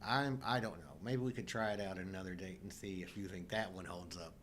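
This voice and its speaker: A man's voice: low-pitched, fairly even intonation, brisk, a bit bright. A middle-aged man co-hosting a live show in casual conversation about films. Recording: faint background noise.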